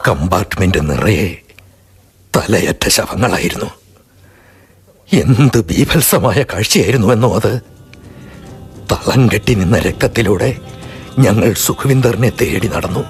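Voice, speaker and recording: An older man narrating and acting a radio drama in a measured storytelling way. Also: fairly low pitch, animated, slightly rough, soft background music. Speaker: narrator and stationmaster figure.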